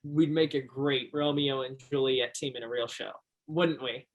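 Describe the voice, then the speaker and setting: A man's voice: medium pitch, steady tone, moderate pace, highs cut off, lightly acted. An actor in a one-act stage play playing an earnest, shy young Alaskan backwoodsman, speaking a little hesitantly. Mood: admiring.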